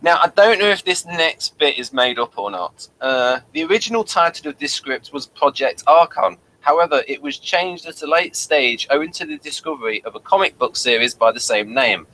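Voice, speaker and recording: A man with a bright, forward voice and a middling pitch presents a review in a conversational way. He reads from notes and drops in dry, wry remarks. A faint noise sits behind him in what sounds like a home recording. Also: lively intonation, normal speed, hardly any pauses, poor audio. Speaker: review show host. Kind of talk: conversational TV episode review, reading notes aloud.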